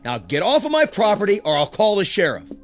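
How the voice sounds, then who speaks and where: An actor in a radio drama playing a gruff, indignant older male homeowner. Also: high-pitched, wildly swooping pitch, fairly quick, over music. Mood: indignant, threatening.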